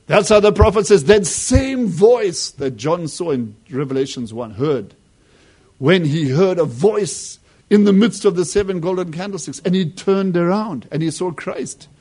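Husky-voiced high man preaching fervently. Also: lively intonation, unhurried. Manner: fervent preaching with swings between loud emphasis and hushed asides. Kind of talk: sermon.

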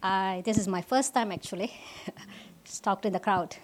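A woman from Malaysia, giving a personal testimony at a church service in halting English with restarts. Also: medium pitch, noisy background. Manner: halting, with restarts and simple non-native grammar.

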